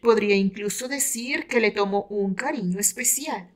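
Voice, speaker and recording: A woman's voice: medium pitch, normal speed. A woman with a Mexican accent reading her own romance novel aloud as dramatic, scripted narration.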